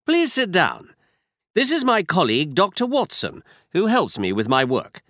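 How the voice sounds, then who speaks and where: A man voicing a brilliant, confident detective who speaks crisply and with assurance. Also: sits high, huge pitch swings, normal speed, a bit bright, no room sound, studio quality, pitched above the performer's own voice, full character voice. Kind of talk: audiobook character reading.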